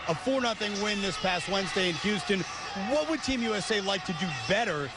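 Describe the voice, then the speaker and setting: A man's voice: high voice, expressive, brisk, very noisy. A man hosting a sports broadcast with a polished, projected announcer delivery. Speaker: sports host. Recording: music behind, outdoor broadcast. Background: music.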